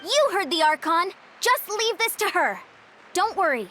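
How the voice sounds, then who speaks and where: A woman voicing a brisk, take-charge young girl in an animated game. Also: very high-pitched, exaggerated voice. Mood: brisk, commanding. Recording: faint background noise.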